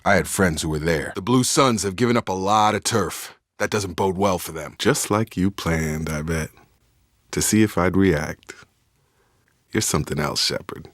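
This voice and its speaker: An actor playing a loyal, earnest young space soldier in a video game, with a straight-talking military manner. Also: male voice, deep voice, moderate pace, fairly bright tone, no room sound, clean studio sound. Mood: low, intimate. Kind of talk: video game character dialogue.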